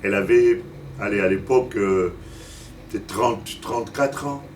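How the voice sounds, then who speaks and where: A man's voice: fairly low pitch, moderate pace, darkish tone, slight background noise. A man in his fifties from Africa preaching a Sunday sermon, stumbling and repeating words as he searches for them and putting questions to the congregation. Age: 50s. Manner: asks the congregation direct questions. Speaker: pastor. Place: church service. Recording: distant mic with faint room ambience.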